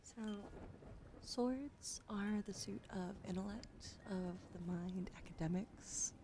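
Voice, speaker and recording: A woman talking low and soft on a live stream, slipping in and out of unvoiced whispers as she shuffles cards and reads them out. Her pitch sits at an ordinary middle height. Clear background noise hums under the whole recording. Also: takes it slowly. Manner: soft, often whispering, greets chat. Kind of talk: ASMR tarot reading on a live stream.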